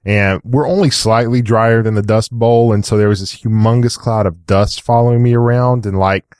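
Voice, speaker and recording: A slightly hoarse man co-hosting a chat show, telling things in a casual, rambling, conversational way. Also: fairly low pitch, fairly even intonation, normal speed, darkish tone, no room sound, studio quality. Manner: rambling, frequent "like" and "you know". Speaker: co-host of a tech chat show.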